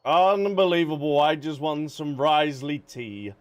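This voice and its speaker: A man's voice: fairly high pitch, unhurried. A man doing casual livestream game commentary in a cheeky, reactive way with playful banter. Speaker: game streamer.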